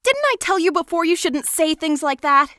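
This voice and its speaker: Shrill, high teenage schoolgirl. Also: sing-song, moderate pace, bright, forceful tone, no room sound, studio quality, heavily acted. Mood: shaken, indignant. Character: frightened, tearful, scolding teenage schoolgirl. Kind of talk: video game dub acting.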